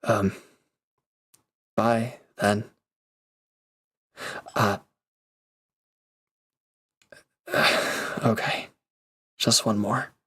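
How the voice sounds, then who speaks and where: A man playing a former villain turned hesitant, conflicted lover, speaking earnestly and haltingly in an intimate audio roleplay. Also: lowish voice, level tone, pushed and bright, breathy edge, full character voice. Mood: reluctant farewell.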